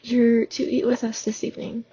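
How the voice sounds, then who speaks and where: A woman's voice: a little high, completely flat delivery, normal speed, dark tone, breathy edge, lo-fi sound. A woman reading as a stern, bossy teenage girl. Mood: stern, snappish. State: hoarse from a sore throat. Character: stern fifteen-year-old girl, cold and bossy.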